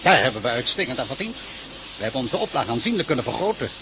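An actor playing a brusque, money-minded newspaper boss in a radio play, brisk and dismissive. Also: male voice, mid-range voice, sing-song. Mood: businesslike. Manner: brisk, dismissive, barking orders. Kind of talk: radio drama acting. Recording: noisy radio play recording.

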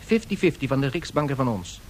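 An actor playing an excitable, harried male aide in a 1970s radio drama, theatrical and expressive. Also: quick pace, natural voice. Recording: vintage radio recording, low rumbling noise.

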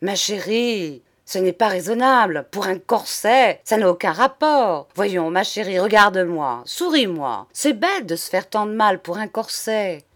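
A woman's voice: mid-range voice, big pitch swings, unhurried, few pauses, fairly bright tone. A woman voicing a weak bourgeois husband in a story, protesting in a whining, exasperated manner. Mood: pleading.

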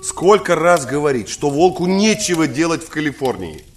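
An actor playing a young engineer, a troubled and emphatic master of a wolf, in an audio drama. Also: male voice, on the high side, sing-song, moderate pace, faint music behind. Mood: exasperated. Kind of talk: Russian audio drama acting. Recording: faint vehicle and ambience noise.

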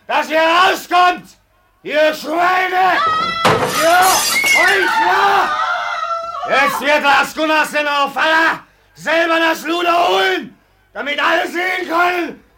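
A man's voice: very high-pitched, takes it slowly, raised voice, over a noisy crowd, very noisy, heavily acted. A man acting in a German radio drama as a drunken, vicious, boastful farm owner, blustering, scornful and cursing. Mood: shouting fury.

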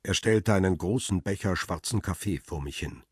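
A weary photographer recounting events in the first person. His voice is very deep and husky, and his storytelling is measured and wry. The recording is dry and close. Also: no room sound. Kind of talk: first-person narration in a radio drama.

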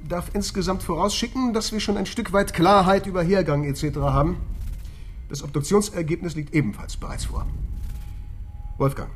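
An actor playing a formal senior police detective, brisk and officious, in a naturalistic radio crime drama. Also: male voice, high-pitched, faint music behind, close to an everyday voice. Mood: formal, businesslike.

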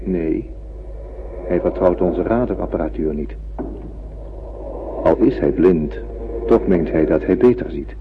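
An actor playing a grave, enigmatic telepath on a spaceship, speaking calmly and deliberately in a radio drama. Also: male voice. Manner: calm, deliberate, cryptic. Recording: muffled, noisy radio drama with rumbling.

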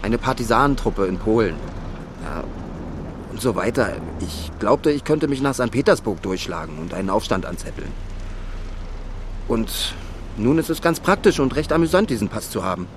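A man's voice: lowish voice, lively intonation, normal speed, noisy background, natural voice. A man playing a cool, amused young exile in an audio drama, speaking in a casual, offhand way. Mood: nonchalant.

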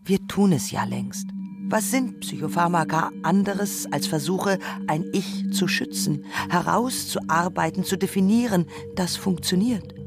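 A woman performing a scripted literary radio essay in a reflective, rhetorical manner with deliberate phrasing. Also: fairly low pitch, lively intonation, over music.

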